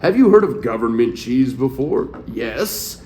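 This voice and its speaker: A man's voice: mid-range voice, big pitch swings, a little slow, warm tone, echoey room. A man in his late thirties, co-hosting a casual podcast chat, laughing often and reading search results aloud. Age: late 30s. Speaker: podcast co-host.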